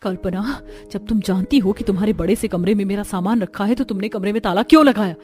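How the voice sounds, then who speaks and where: A woman's voice: medium pitch, quick pace, a little mellow, soft background music, close to an everyday voice. A woman voicing an aggrieved, argumentative young daughter-in-law in a Hindi family cartoon, with a nagging, indignant manner. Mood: angry.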